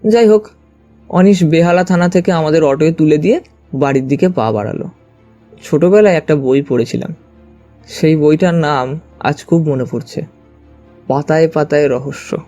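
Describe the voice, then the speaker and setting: A man's voice: fairly high pitch, moderate pace, pauses often, mellow, dull audio, faint music behind. A man narrating a story dramatically in the first person.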